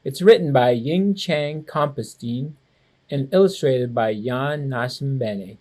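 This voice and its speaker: A man reading a children's storybook aloud to students with expressive, clear phrasing, like a school educator. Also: mid-range voice, takes it slowly. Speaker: assistant principal reading to students.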